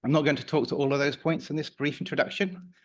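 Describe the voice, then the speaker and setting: Slightly croaky man presenting a report. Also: fairly high pitch, little pitch movement. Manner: measured, with some fillers and restarts. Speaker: council officer presenting a report. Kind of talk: formal committee presentation. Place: council meeting room.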